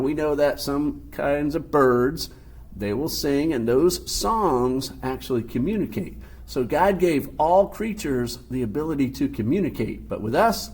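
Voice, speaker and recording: A man teaching a recorded physical science lesson, explaining terms patiently and step by step in his deep voice. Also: darkish tone, husky. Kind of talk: recorded classroom lecture.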